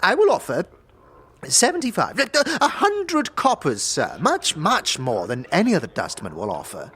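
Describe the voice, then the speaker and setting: A man playing a nervous, fussy, wheedling clerk of a death cult in a fantasy audio drama, with a husky voice and stammering, formal, obsequious speech. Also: a little high, wildly swooping pitch, moderate pace, fairly bright tone, heavily acted. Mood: anxious, wheedling.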